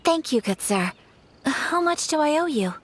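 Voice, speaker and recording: A woman's voice: high voice, very animated, measured pace, faint music behind. A young woman voicing a gracious, principled noblewoman in a video game, speaking formally and courteously. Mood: calm.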